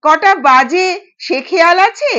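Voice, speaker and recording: A woman voicing a stern older mother-in-law in an audio story, scolding and giving sharp orders. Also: very high-pitched, lively intonation, measured pace, highs cut off, full character voice. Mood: commanding.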